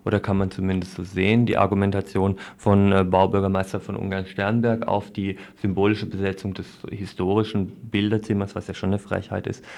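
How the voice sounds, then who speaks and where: A man with a low voice hosts a local radio news interview. His delivery is flat in melody, with informal, slightly hesitant phrasing as he reports and puts questions. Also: fairly quick, gravelly, few pauses.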